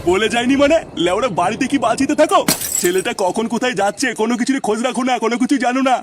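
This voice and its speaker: A man's voice: very high voice, very fast, noisy background. A man voicing a foul-mouthed, nagging husband and father in a comic cartoon. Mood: angry, taunting. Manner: scolding, coarse, sarcastic.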